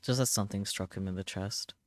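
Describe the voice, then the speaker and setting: A man's voice: on the low side, steady tone, fairly quick, background noise. A man reading a visual novel aloud on a stream as dramatic story narration.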